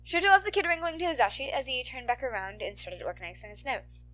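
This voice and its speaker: High, bright-voiced woman narrating fiction. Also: fast. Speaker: podfic narrator. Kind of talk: expressive fan fiction audiobook narration.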